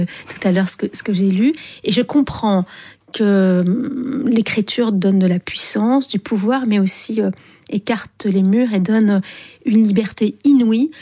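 Brief: woman in her fifties from Algeria, warm mellow tone, reflective interview guest who pauses and hesitates as she recalls. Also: medium pitch. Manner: thoughtful, pauses often with hesitant fillers. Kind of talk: reflective personal storytelling in an interview.

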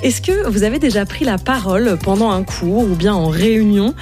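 A female radio host presents and interviews in a soft, mellow tone. Her pitch sits in the middle range for a woman, and light music sometimes plays around her. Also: expressive, normal speed.

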